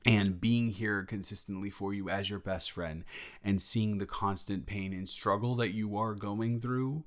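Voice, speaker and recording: A man playing a sheepish, protective best friend in an improvised audio roleplay, talking in a halting, rambling, awkwardly candid way. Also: fairly low pitch, fairly even intonation, lightly acted. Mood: tense, indignant. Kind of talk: improvised audio roleplay, intimate conversational monologue.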